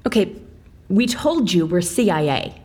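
An actress playing a brash, impatient and sarcastic CIA agent in an audio drama, with a casual way of talking. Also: female voice, on the low side, sing-song, a little slow, a little mellow. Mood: irritated, threatening.

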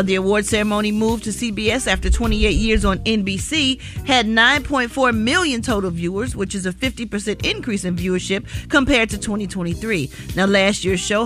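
A woman's voice: mid-range voice, a bit bright. A woman presenting entertainment news on morning radio, with informal, opinionated chat.

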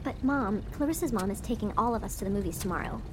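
A woman's voice: medium pitch, animated, quick pace, background noise, voice pushed up, natural voice. A woman voicing a sulky, whiny young girl who is embarrassed by her mother, in dramatised audiobook dialogue. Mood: pouting protest. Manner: whiny, petulant.

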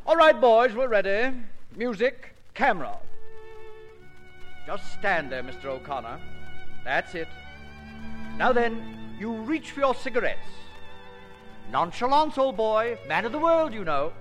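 A fussy silent-film director in an old radio play. His high voice swings widely as he issues pompous, theatrical orders. It rings in a long reverb with faint music behind. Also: sing-song, measured pace, pauses often, fairly bright tone, heavily acted. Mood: bossy, excited. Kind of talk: 1950s radio comedy-drama acting.

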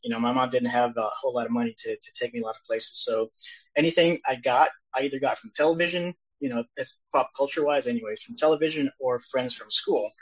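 A man, born in El Salvador and raised in California, answering interview questions in an easygoing, modest way with many pauses. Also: mid-range voice, steady tone, husky. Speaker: guest illustrator and publisher. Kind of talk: casual interview chat. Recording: dull lo-fi sound.

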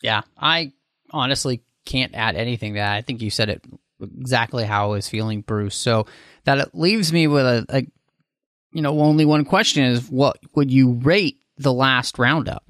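Mid-pitched man hosting a podcast. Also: normal speed, fairly bright tone, no echo, clean studio sound. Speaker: podcast host. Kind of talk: casual book discussion.

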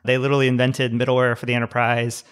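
Man, podcast guest, relaxed, matter-of-fact, mid pitch. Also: fast, clear, resonant tone. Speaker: guest investor. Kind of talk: casual podcast interview.

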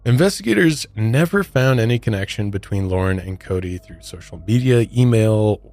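A man's voice: lowish voice, animated, normal speed, darkish tone, faint music behind. A man hosting a podcast, moving between prepared narration and casual discussion. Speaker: true crime podcast host. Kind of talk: recounting a case, part scripted narration, part casual discussion.

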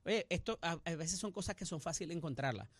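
A man's voice: fairly high pitch, animated, fast, dry recording. A man hosting a casual radio panel chat, thoughtful, with frequent fillers and tag questions. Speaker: radio show host.

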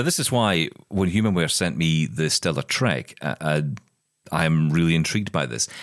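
Deep-voiced man, rambling podcast chat. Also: no room sound, studio quality. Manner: rambling, repeats words when starting sentences. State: blind, describing progressive vision loss. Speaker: podcast co-host. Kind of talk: casual chat.

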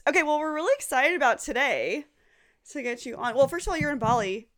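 A woman's voice: sits high, big pitch swings, quick pace, a bit bright, faint music behind. A woman co-hosting a casual podcast, gushing and often talking straight to her listeners. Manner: gushing, keeps calling the audience "you guys". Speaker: podcast co-host.

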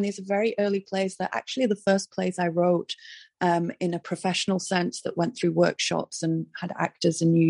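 A woman playwright with a hoarse, raspy voice, talking earnestly about her plays in an interview over a video call, with many fillers and restarts. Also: fairly low pitch, mellow, no room sound, clean studio sound. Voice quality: raspy, gravelly. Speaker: playwright guest.

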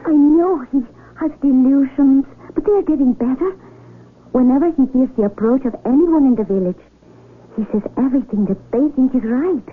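A woman plays a sincere young daughter in an old radio drama. She speaks in a high, pleading, respectful voice. Background noise and a thin, muffled radio sound surround her. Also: moderate pace, lightly acted. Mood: anxious, confiding. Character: earnest, devoted young daughter in love. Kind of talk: 1970s radio drama acting. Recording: muffled, noisy vintage AM radio recording.

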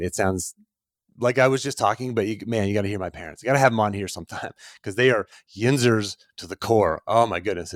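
A man's voice: fairly low pitch, dry recording. Man, forties, motivational podcast host, earnest, speaks straight to the listener, dry close sound. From Pittsburgh, USA. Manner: earnest, coaching, addresses listener directly. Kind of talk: motivational monologue.

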